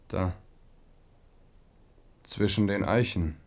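A man's voice: sits low, drawn-out words, gravelly, darkish tone, heavily acted. An actor playing an aging, amorous French king, wry and melancholy, in a German radio drama. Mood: wry, wistful.

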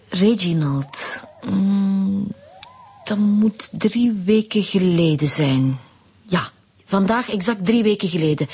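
A woman's voice: medium pitch, mellow, breathy edge. A woman playing a fussy, affected, guarded singing teacher in a radio drama. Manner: affected, haughty, evasive.

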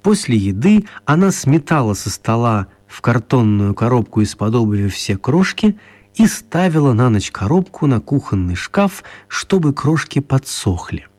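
A man's voice: mid-range voice, sing-song, moderate pace, darkish tone. A man narrating a children's radio play in a scripted storytelling style.

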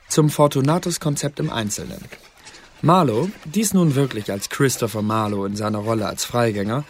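A man's voice: medium pitch, close to an everyday voice. An actor playing an officious male secret-service bureaucrat, dictating reports formally and precisely in a radio drama.

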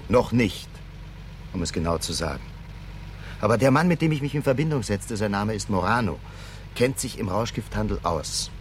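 A man's voice: on the low side, slight background noise. An actor playing a cold, greedy, imperious businessman in a 1970s German radio crime play. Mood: cool, scornful. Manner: imperious, curt, commanding. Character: cold, greedy, domineering businessman running a drug scheme.

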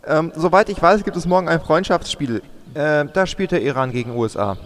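A man's voice: fairly high pitch, brisk, in a large hall, natural voice. A man playing a bossy, harried film producer in a German comedy audio drama, announcing with exasperation. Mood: brisk announcing.

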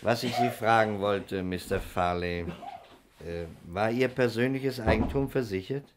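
An actor playing a courteous, shrewd detective who speaks with polite, deliberate probing in a 1960s radio crime drama. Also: male voice, on the low side, background noise, close to an everyday voice. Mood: discreet, purposeful. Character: shrewd, courteous male detective quietly cornering a suspect.

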